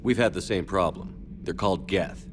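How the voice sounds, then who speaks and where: A deep male voice over clear background music. He is a composed military commander in a video game, played by a man, putting things directly and diplomatically in a steady, everyday delivery. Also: moderate pace, natural voice. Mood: direct, questioning. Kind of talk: video game dialogue acting. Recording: music behind the voice.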